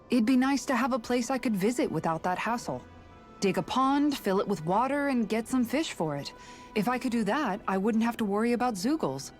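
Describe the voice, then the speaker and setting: A gentle, caring young woman from a fantasy game, as an actress voices her. She talks softly and reflectively, a little shy about her own wishes. Faint background music sits under her voice. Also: mid-range voice, natural voice. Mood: wistful. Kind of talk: game cutscene dialogue.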